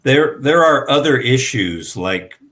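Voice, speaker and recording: A man's voice: mid-range voice, animated, takes it slowly, a bit bright. A man on a panel video call, chatting casually and a little hesitantly, repeating words. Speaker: discussion panelist. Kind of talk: casual chat.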